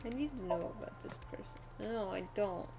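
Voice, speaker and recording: Female game streamer, casual, medium-pitched, music playing underneath. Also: moderate pace, gravelly. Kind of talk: casual reactions and reading game text aloud. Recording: music behind the voice.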